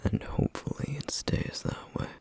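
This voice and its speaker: A man playing a brooding, protective flame demon in an ASMR roleplay, speaking in a grave, breathy, gravelly near-whisper with deliberate pauses. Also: darkish tone, natural voice. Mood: grave, worried. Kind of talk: ASMR roleplay, intimate scripted monologue.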